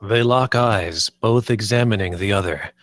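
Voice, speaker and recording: A man's voice: on the low side, measured pace, a bit bright, dry recording, clean studio sound. A man reading stage directions as the narrator at a screenplay table read.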